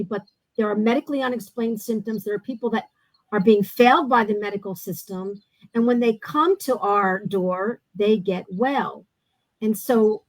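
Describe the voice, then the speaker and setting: A woman host with a slightly high voice speaks earnestly over a video call, pressing her points with emphasis. The sound is close and dry, with no room behind it. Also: no echo, low-quality recording. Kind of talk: casual chat.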